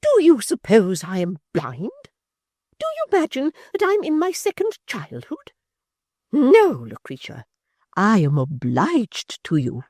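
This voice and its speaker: A woman reading an audiobook, voicing a haughty, indignant middle-aged lady with a shrill, theatrical, slowly emphatic scorn. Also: huge pitch swings, darkish tone, no echo, clean studio sound. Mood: scornful. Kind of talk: audiobook character voice.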